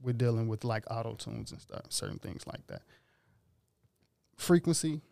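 Casting: relaxed, slangy male musician, gravelly edge, close dry sound. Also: medium pitch, normal speed, no echo. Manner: laid-back, rambling, slangy. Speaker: musician guest. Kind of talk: casual interview talk.

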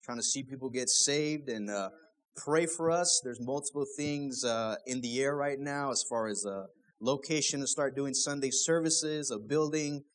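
A man's voice: medium pitch, normal speed. A young man, a pastor, preaching a sermon in a casual, conversational way, full of rhetorical questions.

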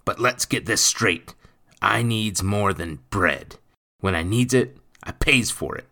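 A man voicing a rough, bullying, thieving stepfather in an audiobook, gruff and coarse in his grammar. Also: deep voice, bright, forceful tone, pitched above the performer's own voice, exaggerated voice. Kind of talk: audiobook character voice.

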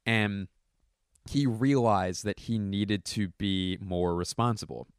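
A low-pitched man, a stand-up comedian, talking off the cuff at home. His voice is hoarse and he keeps stopping to clear his throat, yet the tone under it stays smooth and the sound is close and dry. He rambles, corrects himself mid-sentence and swears casually. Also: takes it slowly, dry recording. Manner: rambling, self-correcting, profane. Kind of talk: casual talking-to-camera commentary.

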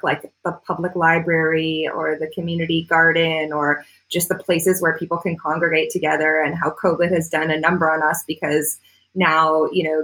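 A woman's voice: low-pitched, a bit bright, rough recording. A woman with a North American accent talking casually as an interview guest, in a rambling, self-deprecating way. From Canada-born, grew up in Florida, United States. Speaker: interview guest, artist and climate activist.

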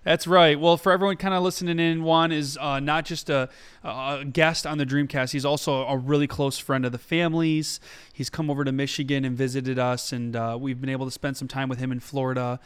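A man's voice: mid-range voice, brisk, clean tone. A man hosting a podcast, introducing and interviewing a guest with upbeat energy. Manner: upbeat and energetic, rising excitedly when greeting friend. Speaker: podcast host.

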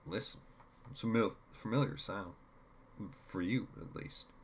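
A man voicing a calm, commanding male mentor in a story, measured and instructive, teasing and cryptic. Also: fairly low pitch, slight background noise.

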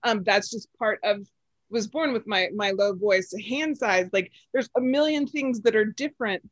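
A woman who calls her own voice low for a woman, talking in an animated, chatty way with plenty of fillers and laughs. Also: normal speed, dry recording, muffled recording. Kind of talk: casual podcast chat.

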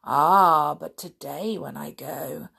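A greedy, boastful enormous male crocodile from a storybook, voiced by a woman reading aloud. He gloats slowly over his words in a gravelly, unhurried voice that leans louder than the narration around it. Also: low voice, exaggerated voice.

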